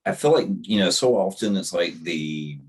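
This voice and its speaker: A man with a very deep bass voice, chatting casually as a podcast guest and often restarting his thoughts. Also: animated, normal speed, husky. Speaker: guest author.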